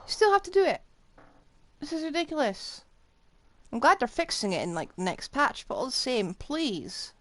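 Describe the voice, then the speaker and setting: A woman giving casual, expressive live commentary as she plays a game, in a playfully exasperated way. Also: high-pitched, moderate pace. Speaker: game livestreamer. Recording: music playing behind voice. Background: game music.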